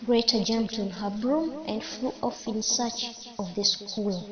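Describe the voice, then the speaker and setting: A woman reading a children's story aloud slowly and carefully, with small slips, on a muffled recording with crowd noise behind. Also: on the high side. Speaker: storybook reader.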